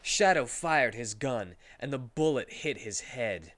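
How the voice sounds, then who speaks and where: A man's voice: mid-range voice, animated, a little slow, fairly bright tone, no room sound. A man reading a story aloud as a comedic dramatic performance, sometimes stumbling over the words.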